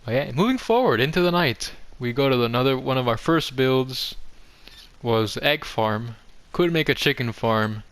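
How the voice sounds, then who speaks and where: A man's voice: medium pitch, animated, moderate pace, fairly bright tone, smooth, no room sound. A young man giving a casual, offhand game tour commentary, rambling along in a self-deprecating way. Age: young adult. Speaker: gaming video host. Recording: music behind the voice. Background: background music.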